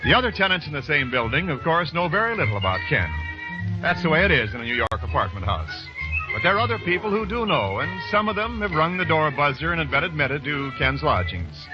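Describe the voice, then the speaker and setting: Give me a dramatic, animated male radio announcer. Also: a little high, a little fast. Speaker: announcer and narrator. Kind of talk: 1940s radio announcing, dramatic scripted narration. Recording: muffled old radio recording, loud music.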